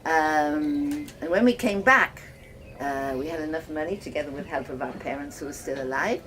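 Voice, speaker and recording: An older woman from Wales telling her life story casually, reminiscing in a halting, conversational way. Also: deep voice, fairly bright tone. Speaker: host of guest lodging, farmer's wife. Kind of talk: casual personal storytelling to guests. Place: informal talk to visitors, filmed by a guest. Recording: noisy ambient home video.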